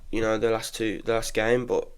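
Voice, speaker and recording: A man's voice: fairly low pitch, flat delivery, normal speed, clear, resonant tone. A congested young man giving frank, chatty opinions in a casual solo football review, full of restarts. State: congested from illness. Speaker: football podcaster.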